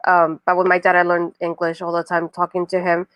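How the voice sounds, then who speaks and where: Low-voiced woman in her late twenties, dry sound. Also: monotone, fairly quick, a bit bright, no room sound. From Puerto Rico. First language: Spanish. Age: late 20s. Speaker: co-host of a book live stream. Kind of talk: casual group chat. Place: indoor room at home, on a video call.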